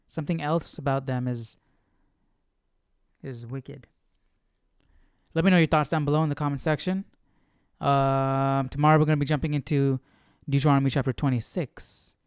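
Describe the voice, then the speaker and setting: A man hosting a casual Bible study, reading aloud and commenting as he questions himself and thinks out loud. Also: medium pitch, steady tone, moderate pace, pauses often, clear, resonant tone, dry recording, clean studio sound. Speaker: host of a Bible study stream.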